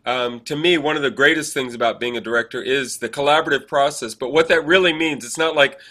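A man's voice: medium pitch, quick pace, pushed and bright. A man chatting casually as a co-host, telling anecdotes and acting out bits of quoted dialogue. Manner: acts out quoted dialogue while telling stories. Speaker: podcast co-host, theatre director and actor. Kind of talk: casual chat, animated anecdotes.

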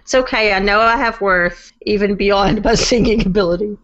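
A woman's voice: medium pitch, normal speed, a bit bright, lo-fi sound. A woman co-hosting a podcast in casual chat, wry and self-mocking. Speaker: podcast co-host.

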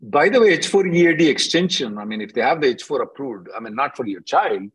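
Male advice-line host, husky and very lively in intonation, blunt, informal, repetitive for emphasis. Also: medium pitch, fast talker. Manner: blunt, emphatic, repeats himself. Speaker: immigration attorney hosting a call-in. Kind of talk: informal question-and-answer advice, reading out questions.

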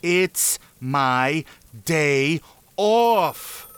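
A man's voice: fairly high pitch, animated, drawn-out words, a bit bright, clean tone, full character voice. A man playing a radio correspondent in a theatrical 1930s radio drama spoof. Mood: grumpy, indifferent. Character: male 1930s radio reporters, frantic eyewitness or grumpy indifferent correspondent.

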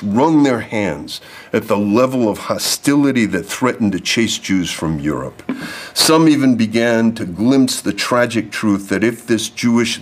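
A man delivering a prepared lecture to an audience, reading formal text and adding off-script asides. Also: lowish voice. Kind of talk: prepared speech read to an audience.

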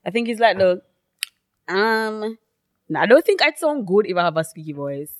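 A woman's voice: mid-range voice, animated, moderate pace, no room sound, studio quality. A young Jamaican woman co-hosting a podcast, chatting and joking with frequent laughter. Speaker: podcast co-host. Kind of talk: casual chat.

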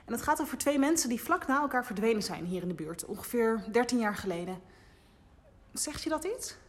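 Higher-voiced, curious young woman reporter. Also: expressive. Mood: hesitant, curious. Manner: hesitant, halting questioning. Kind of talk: naturalistic audio drama acting.

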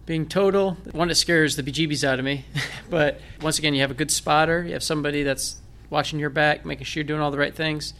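A man's voice: fairly high pitch, steady tone, fairly quick, few pauses, a bit bright, slight background noise. A man with a Northern Michigan accent, telling his story in a conversational interview with self-deprecating jokes. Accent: Northern Michigan American. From Michigan, United States. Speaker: guest, blind military veteran.

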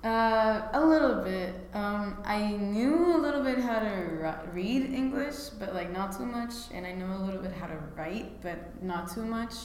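A woman's voice: mid-range voice, animated, moderate pace, few pauses, a little mellow. A young woman from Venezuela, a guest in an interview, chatty, often laughing and saying "like". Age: young adult. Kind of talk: casual interview. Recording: echoey hall with faint noise.

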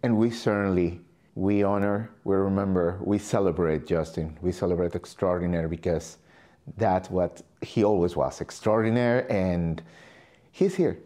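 An interviewer speaking in a reflective, celebratory style, deliberately building phrases in series. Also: deep voice, mellow.

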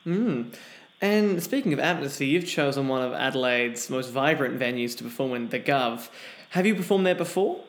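A man's voice: mid-range voice, animated, normal speed. A man hosting an interview and asking polite questions in an echoey room. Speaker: interview host.

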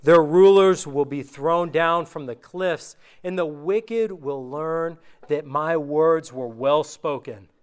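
A man gives a casual sermon and reads scripture with slow, deliberate emphasis. His voice sits high for a man and sounds clean and smooth, though recent sickness has worn it down. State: recovering from illness, voice going. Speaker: preacher. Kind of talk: informal sermon with scripture reading.

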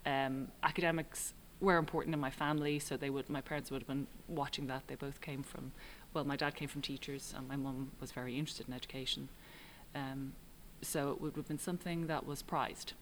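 A middle-aged Irish woman, a writer and director, answering interview questions in a thoughtful, halting way with frequent ums. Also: extremely low pitch, little pitch movement, slight background noise, poor audio. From Ireland. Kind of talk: relaxed reflective interview answers.

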